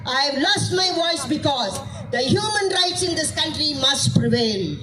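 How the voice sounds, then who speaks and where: A woman, her voice worn hoarse, giving a fervent rally speech, shouting and asking rhetorical questions. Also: sits high, animated, measured pace, over music, poor audio. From India. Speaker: activist speaking at a rally. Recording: speech over a cheering crowd.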